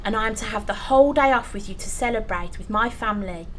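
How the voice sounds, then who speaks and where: A woman acting a meek, kindly clerk and loving father in an audio play, gentle and deferential. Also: medium pitch, quick pace, natural voice. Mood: tender. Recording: clear background noise, ambience.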